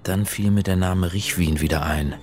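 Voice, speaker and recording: An actor playing an earnest, uneasy middle-aged academic in a radio drama, speaking in a measured way as narrator and in dialogue. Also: male voice, sits low, fairly even intonation, over music, close to an everyday voice. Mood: brooding, tense. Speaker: actor and first-person narrator.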